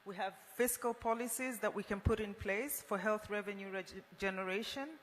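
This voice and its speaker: A woman's voice: mid-range voice, moderate pace. A woman moderating a formal roundtable, addressing a conference. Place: large indoor conference hall. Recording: echoey hall, noisy room ambience.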